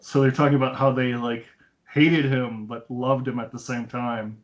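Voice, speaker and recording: A man with a mid-pitched voice chats as a film podcast co-host. He rambles conversationally, and the internet call leaves his sound dull and lo-fi. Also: little pitch movement, moderate pace. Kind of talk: casual film review chat.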